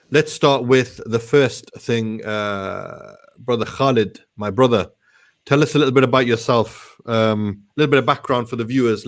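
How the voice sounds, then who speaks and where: Casual, matey male talk show host. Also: mid-range voice. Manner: informal, matey, peppered with Arabic phrases. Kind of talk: casual chat interview.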